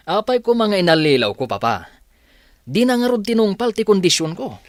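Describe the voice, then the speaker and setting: Man acting an evasive, animated defensive son. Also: high-pitched, moderate pace, no room sound, clean studio sound. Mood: indignant. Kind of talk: Ilocano radio drama acting.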